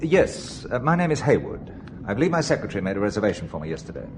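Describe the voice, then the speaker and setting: A man's voice: lowish voice, very animated, fast, background noise. An actor playing a polite, slightly hesitant middle-aged English businessman in an audio drama. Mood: courteous.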